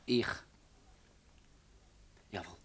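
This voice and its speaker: An actor playing a sheepish, evasive village man in a radio drama, halting and defensive, with a Swiss German accent. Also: a little mellow.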